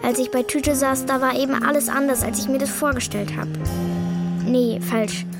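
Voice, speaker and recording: A woman's voice: sits high, fairly even intonation, moderate pace, music in the background. A female performer playing a blunt, cheeky schoolgirl in a children's radio play, speaking in a colloquial, matter-of-fact way. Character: blunt, cheeky schoolgirl telling her story reluctantly.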